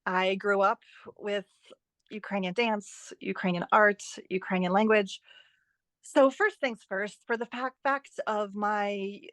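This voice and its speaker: A woman's voice: medium pitch, steady tone, takes it slowly, no room sound. Woman explaining emphatically, close dry sound. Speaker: author and editing expert, podcast guest. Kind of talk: conversational interview, explaining writing tips.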